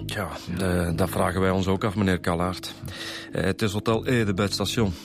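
Casting: wry Flemish detective sidekick, lowish voice, colloquial and opinionated, naturalistic radio acting. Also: male voice, fairly even intonation, normal speed, creaky, music in the background. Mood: awkward, tactful. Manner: colloquial, slightly hesitant. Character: wry, opinionated male police inspector.

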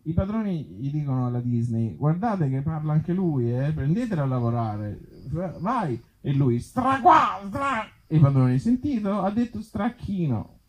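A man puts on a befuddled storybook reader with a raised, slightly husky voice. The delivery is stubborn and halting, stumbling over words and repeating them, as comic theatre. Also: fairly high pitch, sing-song, voice pushed up, poor audio. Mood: excitable, insistent. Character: befuddled, stubborn reader of absurd little fairy tales.

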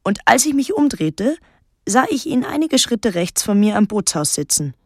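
An actress playing a solitary, watchful island woman, narrating a literary radio drama in a measured, restrained and introspective way. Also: a little high, sing-song, darkish tone, husky, no room sound, studio quality. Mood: reflective, wistful.

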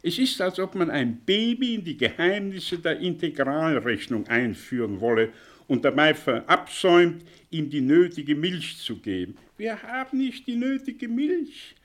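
A cynical professor, swooping intonation. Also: male voice, on the high side, big pitch swings, a little slow, a bit bright. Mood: scornful lecturing. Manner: rhetorical, mocking, lecturing. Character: cynical, condescending male professor with a choleric streak. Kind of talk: German radio drama acting.

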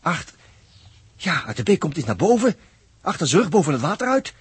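A man acting an excitable, wry spaceship crewman in a 1960s radio drama, husky, repeating himself in exclamations. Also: fairly high pitch, a little fast, no echo, heavily acted. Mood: alarmed.

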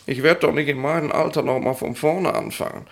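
An actor in a German radio play playing a bossy, nosy, smug middle-aged police inspector who rambles colloquially. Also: male voice, fairly high pitch, fairly even intonation, brisk, creaky, fairly bright tone. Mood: self-important. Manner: colloquial, rambling, officious, tag questions.

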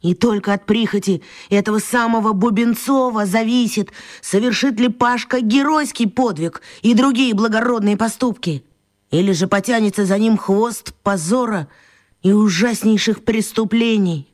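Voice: medium pitch, normal speed, natural voice. A brooding schoolboy, played by an adult actor, thinks aloud in a dramatic monologue. He sounds weighed down by guilt, in the manner of a children's radio play. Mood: worried, solemn.

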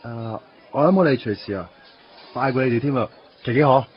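A man's voice: medium pitch, a little fast, a little hiss or hum, close to an everyday voice. An actor playing a timid, earnest young man in a radio play, speaking Hong Kong Cantonese and stammering over his words. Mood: teasing. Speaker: supporting character in a radio play. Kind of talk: 1990s radio drama acting. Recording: dull lo-fi radio recording with music.

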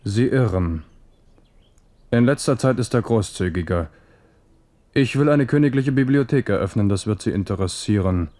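Give the radio play an ageing, amorous king played by a man, low and very mellow in tone. He muses in measured phrases, wry and a little wistful, like a ruler looking back on his youth. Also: expressive, lightly acted. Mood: genial. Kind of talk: German radio play acting.

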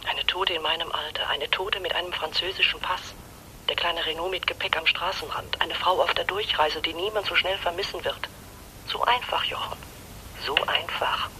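A disillusioned middle-aged wife longing to escape her life. Her voice is very bright and tense, pleading and intense in radio-drama style, with faint noise behind it. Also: on the low side, moderate pace, low-quality recording. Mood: wistful, pleading. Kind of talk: German radio play acting.

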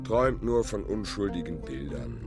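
A man's voice: deep voice, animated, normal speed, loud music behind the voice. An actor playing an ominous, talkative village workman who delivers rhetorical warnings in a radio drama. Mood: bitter warning. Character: one-handed village workman, prophetic, ominous, talkative orator.

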